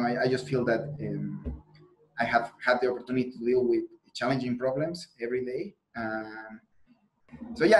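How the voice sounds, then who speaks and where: A man's voice: medium pitch, low-quality recording. A young man from Mexico, a native Spanish speaker with a Mexican Spanish accent, answering interview questions earnestly over a video call, with frequent restarts and fillers. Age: young adult. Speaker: guest, PhD student. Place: indoor room on a video call.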